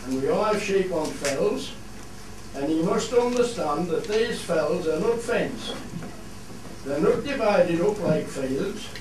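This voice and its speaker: High-pitched, measured Cumbrian shepherd played by a man. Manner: plain, explanatory, measured. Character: plain-spoken Cumbrian fell shepherd explaining local customs. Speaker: narrator and shepherd in a radio play. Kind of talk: scripted radio play monologue in dialect. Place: theatre studio. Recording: echoey hall, distant mic, clear noise.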